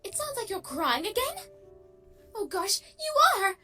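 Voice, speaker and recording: A woman's voice: very high-pitched, big pitch swings, unhurried, sharp tone, full character voice. A woman playing a dramatic, fussy and concerned lady pony in a fan audio drama, theatrical and fretting. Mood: alarmed concern. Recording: music behind the voice.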